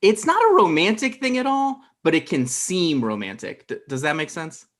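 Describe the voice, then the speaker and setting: A man's voice: fairly high pitch, very animated, normal speed, fairly bright tone. A young man playing a dry, defensive thirty-year-old boyfriend in a comedy table read on a video call. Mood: earnest. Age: young adult. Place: indoor room on a video call.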